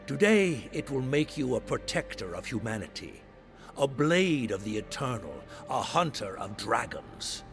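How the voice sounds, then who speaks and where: An actor playing a solemn high priest in a fantasy game, with a ceremonial, declamatory manner. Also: male voice, sing-song, a little slow, a bit bright, faint music behind. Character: solemn high priest of a dragon-hunting order. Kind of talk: dramatic fantasy game acting.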